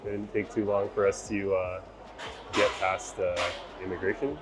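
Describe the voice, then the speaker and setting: Low-voiced man narrating a travel vlog. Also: normal speed, music in the background. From United States. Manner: relaxed, chatty, with frequent fillers. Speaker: travel vlogger.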